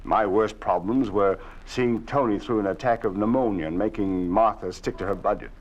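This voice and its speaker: An actor playing a tired, conciliatory husband in a 1951 radio drama who pleads for peace and stammers when upset. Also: male voice, on the low side, moderate pace, close to an everyday voice. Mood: wistful. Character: weary conciliatory husband Carl, avoids taking sides. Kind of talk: 1950s radio drama acting. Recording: dull lo-fi old radio broadcast.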